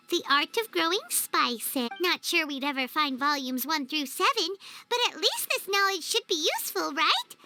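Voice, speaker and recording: A woman voicing a small, excitable, childlike fairy companion in a video game, chatty and naive, with a squeaky voice. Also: heavily acted. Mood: puzzled, wary. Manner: refers to self in third person, exclaiming, wheedling. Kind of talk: video game cutscene acting. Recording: music under the voice.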